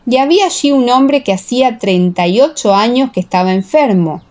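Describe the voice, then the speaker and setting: A woman's voice: fairly high pitch, animated, fairly quick, darkish tone. A woman reading scripture as the narrator of a dramatized audiobook.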